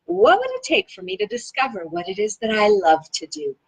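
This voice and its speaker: A woman with a slightly husky voice reading a self-help book aloud in an enthusiastic, expressive way, with casual, chatty asides. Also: medium pitch, brisk, rough recording. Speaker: reader of a self-help book read-along.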